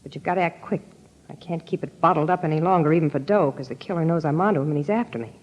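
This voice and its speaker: A hard-boiled, edgy dame from a vintage radio crime story. She speaks in a lowish voice with tough, slangy urgency. The recording is muffled and lo-fi. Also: racing. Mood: hard, defiant. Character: hard-boiled, jittery young gangster's girlfriend with a secret. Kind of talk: old-time radio crime drama acting. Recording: dull, lo-fi old radio recording.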